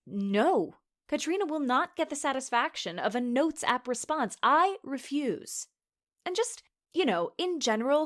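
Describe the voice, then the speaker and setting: A woman's voice: mid-range voice, natural voice. An actress playing a sardonic, anxious young woman who narrates a confessional podcast drama in a conversational, sweary way. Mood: exasperated. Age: young adult. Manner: wry, sarcastic, sweary. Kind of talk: confessional scripted monologue, conversational.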